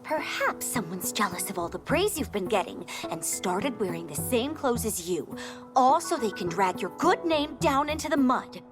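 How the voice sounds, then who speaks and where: An actress playing an excitable, chatty young castle maid with a wild imagination in a video game cutscene, theatrical and gossipy. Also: female voice, fairly high pitch, moderate pace. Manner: theatrical, gossipy, breathless speculation. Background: music.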